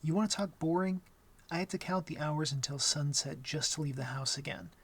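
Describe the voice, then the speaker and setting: A young man playing an awkward, gentle vampire in an intimate ASMR roleplay, talking softly and hesitantly with a wry streak. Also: a little high, brisk, breathy edge. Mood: relaxed, amused. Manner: hesitant, soft-spoken, wry.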